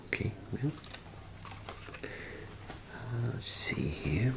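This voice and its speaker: A man playing an unhurried pawn shop owner in an ASMR role play, muttering haltingly and methodically to himself in a soft, breathy whisper. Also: animated, noisy background, heavily acted. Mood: careful, approving. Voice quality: soft, breathy whisper with low creaky murmur. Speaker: ASMR performer.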